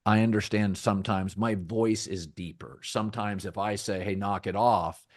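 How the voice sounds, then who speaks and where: A middle-aged man hosting a sports talk podcast, with a deep, rich voice and a measured, thoughtful delivery that often restarts phrases. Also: steady tone, no room sound, studio quality. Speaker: podcast host.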